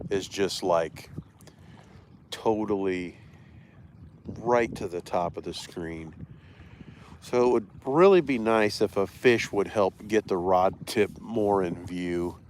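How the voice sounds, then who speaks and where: A man with a fairly low voice rambles casually to a live-stream audience. He is laid-back and self-deprecating and sounds a little congested from allergies. He is recorded outdoors, with faint noise of wind and water behind him. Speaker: fishing livestreamer. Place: outdoors beside a reservoir.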